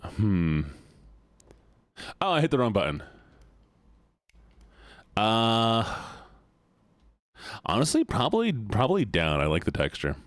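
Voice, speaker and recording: A young man with a deep voice chatting casually with his stream audience, goofy and playful and often laughing. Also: wildly swooping pitch, takes it slowly, pauses often, no room sound. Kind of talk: casual livestream chat.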